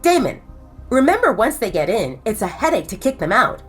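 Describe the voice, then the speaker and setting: A woman's voice: on the high side, big pitch swings, brisk, husky, soft background music. A woman voicing a serious male werewolf friend in an audio drama, giving an earnest warning. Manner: earnest, cautionary.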